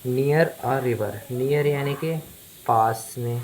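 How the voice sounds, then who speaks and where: A man with an Indian accent, a native Hindi speaker, teaching English phrase by phrase in a deliberate, repetitive, explanatory manner. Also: medium pitch, a little mellow, a little hiss or hum, low-quality recording. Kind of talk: bilingual language lesson, phrase by phrase.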